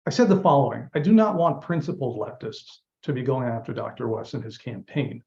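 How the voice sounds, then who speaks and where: A man's voice: mid-range voice, a little fast, creaky, darkish tone, highs cut off. A man from Lebanon, a campaign manager, answering interview questions earnestly and explaining at length. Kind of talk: interview guest.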